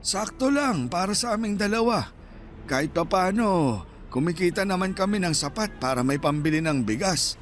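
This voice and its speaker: An actor playing a small, shy old Filipino husband who sells at night, speaking plainly in a radio drama. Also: male voice, sits high, animated, moderate pace, soft background music. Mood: reflective.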